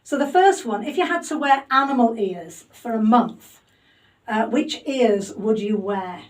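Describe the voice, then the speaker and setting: A woman's voice: on the high side, lively intonation, measured pace, recorded from a distance, poor audio. A woman hosting a homemade podcast interview in her loft, chatty and self-deprecating with plenty of fillers. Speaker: podcast host. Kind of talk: casual interview chat. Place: home loft.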